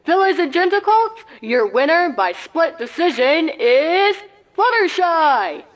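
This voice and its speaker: Woman doing an excitable, high-pitched pony sports announcer. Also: slow pace, exaggerated voice. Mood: upbeat, showy. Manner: hyped, showy broadcaster delivery. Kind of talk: sports commentary in a comedic story reading.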